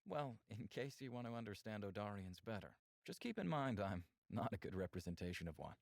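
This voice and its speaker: Visual novel alien, protective and earnest, measured delivery, low with a gravelly edge, close dry sound. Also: male voice, sing-song, no echo. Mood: calm, explanatory. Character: calm, caring male alien, protective symbiont host.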